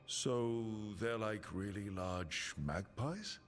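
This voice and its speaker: Easygoing male game hero, lowish voice, chatty and wry, asks stuff, music behind. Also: lively intonation, takes it slowly. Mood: curious. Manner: conversational, inquisitive, dryly playful. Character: easygoing, curious male adventurer from an assassin guild. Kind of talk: video game dialogue acting. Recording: music under the dialogue.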